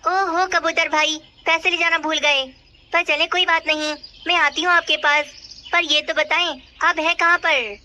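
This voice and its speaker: A woman's voice: very high-pitched, steady tone, moderate pace, bright, forceful tone, heavily acted. A woman voicing a trusting, obliging female little bird in a children's cartoon. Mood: helpful. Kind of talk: Hindi children's cartoon dialogue. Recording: birdsong noise behind.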